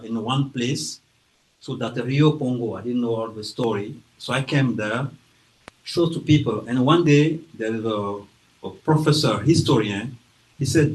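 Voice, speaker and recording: A man from Guinea with a French accent talks to a library audience about his book. He speaks slowly and carefully, with frequent hesitations. He acts out quotes as he tells the story, in a voice of ordinary pitch. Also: darkish tone, low-quality recording. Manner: slow, careful delivery with hesitations and acted-out quotes. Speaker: author presenting his book. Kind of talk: informal talk to an audience. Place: library event room.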